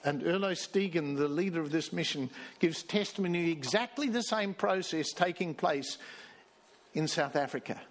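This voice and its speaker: A man with a high-pitched voice delivers a storytelling sermon. He reads quoted passages aloud with emphasis and speaks as the church's minister. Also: moderate pace. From Australia. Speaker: pastor.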